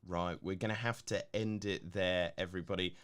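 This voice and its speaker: Male co-host, low pitch, a bit gruff, laid-back question reader. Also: moderate pace. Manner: relaxed, filler-heavy, laughs easily. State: slightly gruff voice. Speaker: co-host reading viewer questions. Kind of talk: casual live stream chat.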